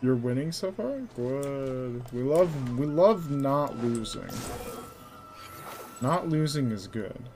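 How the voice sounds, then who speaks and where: A man's voice: medium pitch, expressive, slow. A young man giving casual, offhand, joking gameplay commentary as a streamer. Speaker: game streamer. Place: indoors, live stream over game audio. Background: game music and effects.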